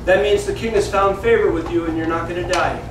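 High-pitched man storytelling in an echoey room. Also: fairly even intonation, fast. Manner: theatrical, acts out dialogue, plain simple wording. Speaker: children's church teacher. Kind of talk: animated Bible storytelling to children. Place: small classroom indoors. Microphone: camera several metres away. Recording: roomy echo, distant camera mic, room noise.

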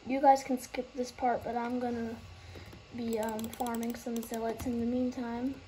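A woman explaining a game trading method in a casual, halting way, losing her train of thought and starting over. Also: a little high, flat delivery, dark tone, slight background noise, rough recording. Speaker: gaming tutorial host.

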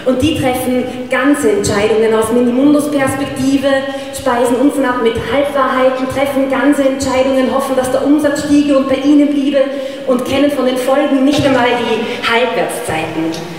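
A woman's voice: high-pitched, steady tone, normal speed, a little mellow. A woman, performing spoken word poetry on stage with rhythmic, emphatic delivery. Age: 30s. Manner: rhythmic, emphatic, gesturing widely. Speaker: slam poet. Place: large dark stage hall with live audience. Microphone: stand microphone. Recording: echoey hall, distant mic, audience noise.

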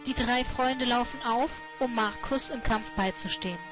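Woman narrating a radio play, voice a bit high, music under her. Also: normal speed. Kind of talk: scripted audio drama narration.

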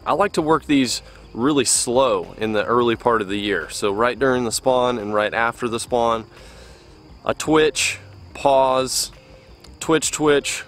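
A young man hosting a fishing video, explaining a lure in relaxed, conversational talk to camera outdoors by a lake. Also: mid-range voice. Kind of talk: casual instructional talk to camera.

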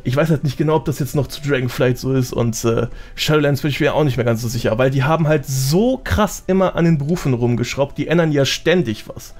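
A man with a medium-pitched voice talks as a guest on a casual gaming podcast. He explains things in slangy everyday speech, dropping in English gamer words. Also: quick pace, hardly any pauses, darkish tone.

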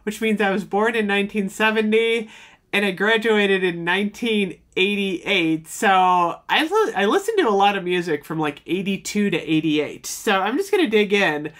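A woman in her fifties talks casually to a desk camera in a small home room. Her pitch sits in the middle for a woman. She rambles warmly, exclaiming and pausing with fillers as she goes. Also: expressive. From United States. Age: 50s. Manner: chatty and nostalgic, many "oh my gosh" and "um". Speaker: vlogger.

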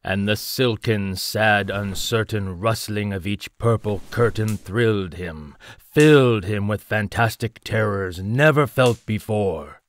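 An actor playing a solemn, brooding narrator of a gothic poem in an audio drama, measured and theatrical. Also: male voice, on the low side. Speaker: story narrator.

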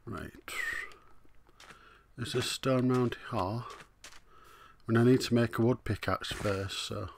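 A man with a hoarse, coughing voice, casually muttering his way through a video game as he plays. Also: medium pitch, steady tone, slow, long pauses, a little hiss or hum. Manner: muttering to himself, easygoing, frequent "right". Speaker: let's play host. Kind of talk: casual gameplay commentary.

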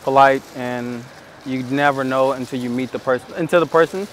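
Relaxed man chatting in a noisy square. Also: medium pitch, a little fast. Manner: relaxed, probing questions, laughs easily. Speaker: dating coach. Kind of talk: casual chat. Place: outdoors in a busy city square. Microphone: far hidden camera. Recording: street noise, distant camera.

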